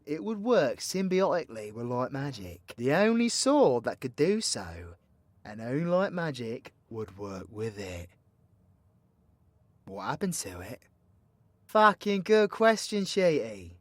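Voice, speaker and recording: A man's voice: mid-range voice, sing-song, a little slow. A man playing a cocky, foul-mouthed, playfully teasing supervillain mentor in an audio roleplay, with a British accent and a slangy, swaggering, theatrical delivery. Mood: confiding, storytelling. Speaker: voice actor. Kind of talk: villain ASMR audio roleplay, addressing the listener.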